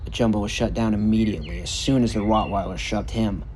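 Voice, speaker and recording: A man reading a scripted story narration in a measured, earnest way. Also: lowish voice, fairly even intonation, darkish tone, a little hiss or hum. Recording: music bed under the narration. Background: background music.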